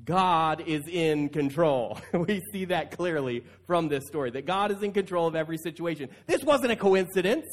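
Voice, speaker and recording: A man's voice: a little high, expressive, moderate pace, few pauses. A male pastor preaching in a conversational style, stretching key phrases for emphasis. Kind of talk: conversational sermon.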